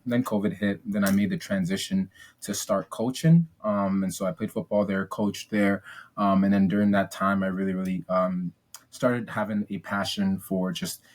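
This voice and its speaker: A young man from Ghana speaks in a fairly low voice. He talks as a podcast guest, chatty and upbeat, filling pauses with ums and restarting his sentences. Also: darkish tone. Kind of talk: casual interview storytelling.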